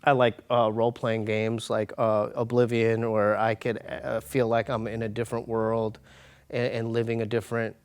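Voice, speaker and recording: A man's voice: medium pitch, flat delivery, moderate pace, clear, resonant tone. Candid, slangy man talking casually. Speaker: podcast guest, comedian and hip-hop artist. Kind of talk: casual conversational interview.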